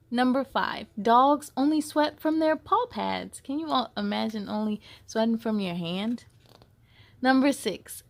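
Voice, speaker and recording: A woman reading a picture book aloud for children, expressively, addressing young listeners with questions and exclamations. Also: on the high side. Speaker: host of children's read-aloud story time.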